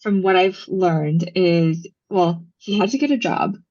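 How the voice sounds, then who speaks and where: Low-voiced woman telling family stories. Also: a little slow, a little mellow. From Los Angeles, USA. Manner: storytelling, frequent ums and likes, laughs easily. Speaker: podcast guest, brand founder. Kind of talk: casual interview chat. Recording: dull muffled podcast audio.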